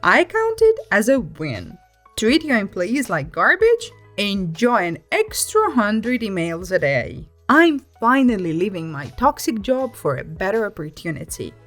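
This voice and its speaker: A woman reads scripted stories aloud as a narrator, with an expressive, emphatic delivery over background music. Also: mid-range voice, unhurried.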